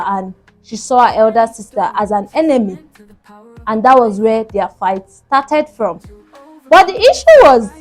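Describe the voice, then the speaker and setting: A woman hosting a story show, telling a first-person tale in an emphatic, dramatic way with repeated phrases. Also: fairly high pitch.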